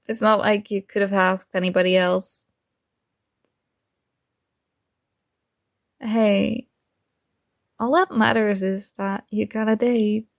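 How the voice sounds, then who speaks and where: A woman playing a secretly jealous, teasing roommate in an intimate one-sided ASMR roleplay, with hesitant pauses. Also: medium pitch, creaky. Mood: resigned, hiding hurt. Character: secretly jealous female roommate, teasing, hurt but feigning indifference.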